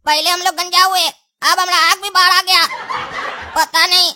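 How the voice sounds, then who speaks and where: A man voicing a small panicky child in a cartoon, urgent and pleading, with a pitched-up voice. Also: level tone, moderate pace, bright, forceful tone, slight background noise, heavily acted.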